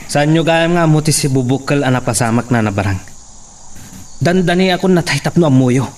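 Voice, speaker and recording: Man acting a taunting henchman, fairly high voice. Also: a little fast, darkish tone, distant mic, lightly acted. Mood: grumbling, worried. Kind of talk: Ilocano radio-style audio drama acting.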